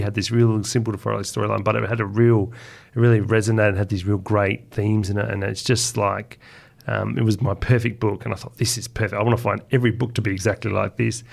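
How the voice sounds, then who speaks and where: A man's voice: fairly low pitch, level tone, a little fast, creaky, darkish tone. A man with an Australian accent chatting informally and enthusiastically as a guest author in an interview. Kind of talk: casual conversational interview.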